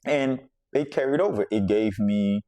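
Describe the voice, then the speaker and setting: A man's voice: lowish voice, a little slow, mellow, dry recording. A man from the Bahamas hosting a podcast, telling a personal story in a reflective, conversational way and circling back to repeat his points. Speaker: podcast host.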